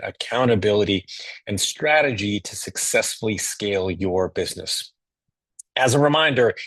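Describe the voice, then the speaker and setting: A man's voice: lowish voice, no echo. A man hosting a business podcast, reading an upbeat intro and then asking questions with a few fillers. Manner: upbeat, many fillers and restarts when asking. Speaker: podcast host. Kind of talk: scripted intro then interview.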